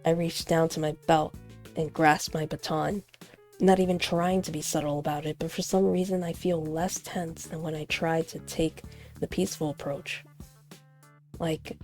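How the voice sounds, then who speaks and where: A woman reading a visual novel aloud as expressive narration, in the manner of a game player acting out a story. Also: low-pitched, moderate pace, faint music behind. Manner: acts out character lines while narrating.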